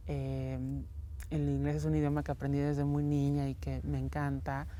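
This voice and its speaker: A woman's voice: very deep voice, completely flat delivery, normal speed, dark tone, a little hiss or hum. A middle-aged woman, an academic guest on a studio interview show, speaking thoughtfully with frequent fillers and tag questions. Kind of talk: conversational interview. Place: television studio.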